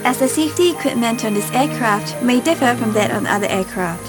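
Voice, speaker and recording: A woman giving a scripted safety briefing in a clear, calm instructional way, recorded as a studio voice-over. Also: on the high side, brisk. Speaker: safety video narrator. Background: music bed.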